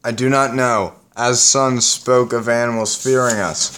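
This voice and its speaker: A man's voice: medium pitch, steady tone, a little slow, fairly bright tone, smooth, close to an everyday voice. A man playing an uneasy, defensive primeval man in an audio drama, earnest and formal in his speech. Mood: agitated.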